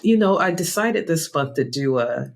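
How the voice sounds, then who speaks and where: A woman's voice: low-pitched, expressive, normal speed, creaky. Enthusiastic woman podcast host. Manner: enthusiastic, affirming interjections. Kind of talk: interview hosting.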